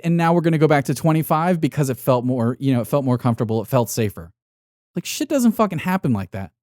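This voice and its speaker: A man's voice: high-pitched, darkish tone, dry recording, clean studio sound. A man hosting a solo podcast in an unscripted monologue, ranting bluntly and emphatically with heavy swearing to motivate. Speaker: podcast host.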